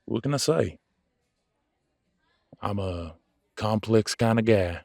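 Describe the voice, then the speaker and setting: A man playing a cocky, casual werewolf veterinarian in an audio drama, gruff but soft-hearted, with a streetwise way of talking. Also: lowish voice, animated, takes it slowly, no echo, clean studio sound, exaggerated voice. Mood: smug, amused. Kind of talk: audio roleplay drama dialogue.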